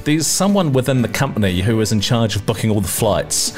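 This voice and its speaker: Young NZ male podcast co-host, low voice, loose and chatty, lots of restarts. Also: expressive, fairly quick, soft background music. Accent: New Zealand. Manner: chatty, laughs often, repeats words when starting. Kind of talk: casual chat. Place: apartment with street traffic.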